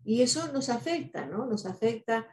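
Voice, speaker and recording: A woman's voice: fairly high pitch, very animated, moderate pace. A woman whose first language is Spanish, speaking earnestly in a reflective podcast conversation, often adding "¿no?" and "eh". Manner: earnest, speaks with many "¿no?" tags and "eh" fillers.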